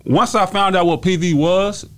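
A man's voice: sits high, moderate pace. Laid-back Texas man telling his story. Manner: relaxed, repeats phrases and fillers, gestures while talking. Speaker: guest rapper. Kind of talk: casual interview storytelling. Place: small podcast studio indoors. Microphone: studio mic on stand with headphones.

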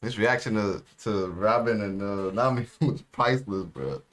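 A man's voice: lowish voice, moderate pace. A young man co-hosting an anime reaction show, chatting in a laid-back, slangy way. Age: young adult. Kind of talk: casual reaction chat. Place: small indoor room, seated.

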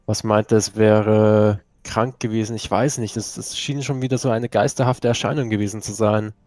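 A man's voice: on the low side, flat delivery, normal speed, a little mellow, dry recording. A man playing a tabletop game, talking casually in character in a rambling, tentative way.

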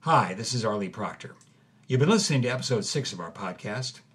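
A man's voice: on the low side, expressive, fairly quick, fairly bright tone, husky. Earnest man hosting a documentary podcast. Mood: sincere. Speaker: host, narrator. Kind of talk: scripted podcast narration in audio drama. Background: music under the voice.

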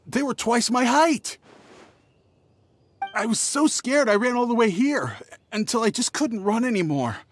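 An actor playing a nervous, cowardly young traveling merchant in a video game, stammering theatrically with music behind him. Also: male voice, very high-pitched, animated, measured pace, pauses often, slight background noise. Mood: shaken, relieved. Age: young adult. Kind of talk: video game character acting.

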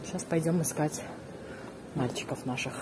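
A woman's voice: sits low, normal speed. A middle-aged woman narrating a casual family travel vlog in an effusive, exclaiming way. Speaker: family vlogger filming and narrating. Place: resort grounds, corridors and poolside. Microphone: handheld phone camera. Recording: noisy handheld travel vlog recording.

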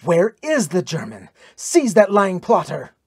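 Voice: medium pitch, lively intonation, normal speed, a little mellow, slightly rough, no echo, studio quality. A narrator voicing a fierce, proud Norse warrior princess with archaic, declamatory speech in an audiobook adventure. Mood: furious, commanding.

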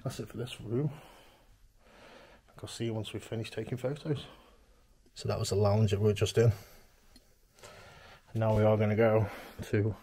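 A man's voice: lowish voice, steady tone, moderate pace, long pauses, dark tone, breathy edge. A man with a Stoke-on-Trent English accent, giving casual vlog commentary as an urban explorer. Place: inside a derelict abandoned building.

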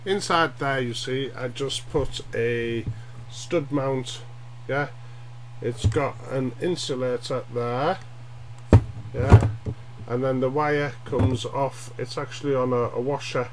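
A man's voice: mid-range voice, steady tone. Middle-aged man explaining, noisy humming recording. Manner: halting, tag questions like "yeah?" and "eh?". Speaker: hobbyist radio demonstrator. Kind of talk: informal explainer vlog. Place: small cluttered room at home, indoors. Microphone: webcam at arm's length. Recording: noisy webcam audio with hum.

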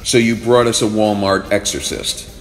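A man's voice: on the low side, normal speed, raised voice, close to an everyday voice. A man playing a sardonic, slightly pompous headless ghost commander from the Revolutionary War in a comic audio drama. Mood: skeptical.

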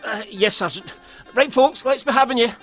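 An actor playing a nervous, obedient police constable in an audio drama, hesitant and flustered. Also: male voice, very high voice, moderate pace, fairly bright tone, faint music behind. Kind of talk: full-cast audio drama acting.

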